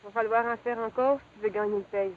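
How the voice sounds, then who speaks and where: An actress playing a devoted, principled young country sweetheart in a 1950s television drama, plain-spoken, with a Quebec French accent. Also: female voice, fairly high pitch, steady tone, quick pace, lightly acted. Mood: reproachful. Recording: tinny, noisy 1950s television soundtrack.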